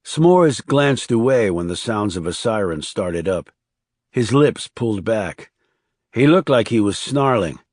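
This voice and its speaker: A man's voice: fairly low pitch, lively intonation, a little slow, no echo, studio quality. A man reading scripted thriller narration as an audiobook narrator.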